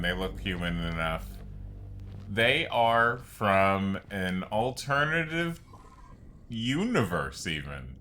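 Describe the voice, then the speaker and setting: A man's voice: fairly low pitch, sharp tone, slight background noise. A man giving casual, rambling gameplay commentary, explaining things with frequent asides. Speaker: gaming video creator narrating gameplay. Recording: game music behind the voice. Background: video game music.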